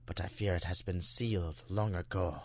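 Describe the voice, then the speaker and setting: A man's voice: low-pitched, fairly even intonation, moderate pace, no echo. A man playing a polite, easily offended mutant, formal and theatrical, in an audio drama. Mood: courteous. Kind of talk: fan audio drama acting. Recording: clear background noise.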